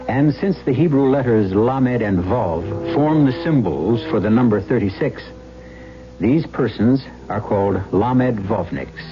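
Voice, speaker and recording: A man hosting and narrating a radio drama in a measured, dramatic, scripted 1970s style. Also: mid-range voice. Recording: muffled old radio broadcast with music.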